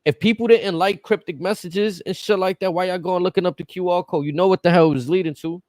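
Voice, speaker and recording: A man's voice: sits high, steady tone, fast talker, darkish tone, dry recording, clean studio sound. A man in casual podcast chat, blunt and profane, arguing his points. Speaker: podcast co-host.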